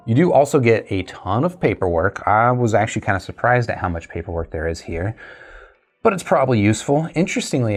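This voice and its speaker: A man chatting casually through a tech review and unboxing, conversational and thinking aloud as he goes. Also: on the low side.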